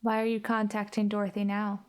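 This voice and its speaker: A woman giving measured, improvised answers as a player in a tabletop roleplaying game. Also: medium pitch, monotone, smooth. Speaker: player on an actual play podcast.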